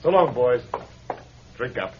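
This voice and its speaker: Slow, taunting drunken gunman. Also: mid-range voice, expressive. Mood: cold, mocking. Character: slurring drunk barfly, secretly a cold gunman. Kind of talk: 1940s radio drama acting. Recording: muffled, noisy old radio.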